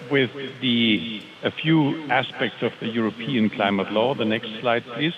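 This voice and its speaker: A man presenting to a conference audience in a deliberate, hesitant way, often asking for the next slide. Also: mid-range voice. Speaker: board chair presenting. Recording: muffled sound with crowd noise.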